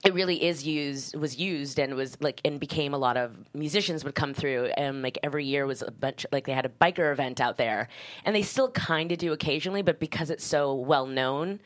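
A woman with a low voice talks as a screenwriter guest in an interview. She tells anecdotes in a loose, chatty, informal way, often dropping in "like". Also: a little fast. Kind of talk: casual anecdotal interview chat.

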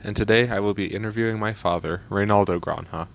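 A man's voice: lowish voice, steady tone, fast talker, creaky. A man interviewing someone with simple prepared questions.

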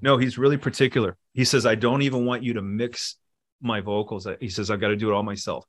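A middle-aged man talking casually in an interview, relaxed and rambling, with many fillers and restarts. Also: lowish voice, quick pace. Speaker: musician and producer guest.

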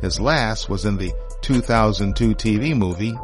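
A man's voice: lowish voice, moderate pace, soft background music. A man presenting scripted announcements and sponsor copy. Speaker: podcast presenter reading an ad.

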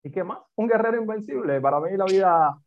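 A Cuban-accented man talks animatedly over what sounds like a tinny phone line, his pitch sitting somewhat high. He builds his points from short repeated phrases in a relaxed interview. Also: brisk. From Cuba. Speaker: podcast guest, entrepreneur. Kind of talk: conversational interview.

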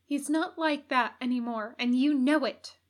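A woman's voice: sits high, unhurried. A woman voicing a heartbroken male angel who scolds himself resolutely in an audiobook reading. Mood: bitter self-reproach.

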